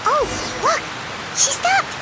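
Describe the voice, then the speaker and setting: A woman voicing a small, excitable, childlike fairy companion in a video game, with a squeaky, expressive delivery. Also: slow pace, full character voice. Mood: urgent, excited. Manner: refers to self in third person, expressive. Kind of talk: video game character dialogue.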